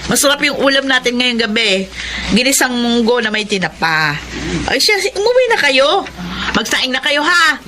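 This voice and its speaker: High-voiced, down-to-earth Filipina mother. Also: big pitch swings, moderate pace. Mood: motherly, teasing. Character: hardworking, kindly mother and street barbecue vendor. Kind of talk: Filipino radio drama acting. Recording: noisy background ambience.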